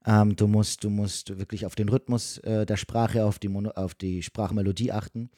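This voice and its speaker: Mellow-voiced man explaining his craft. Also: fairly low pitch, level tone, normal speed, dry recording, clean studio sound. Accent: German. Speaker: podcast guest, dubbing voice actor. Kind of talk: casual conversational explanation of the craft.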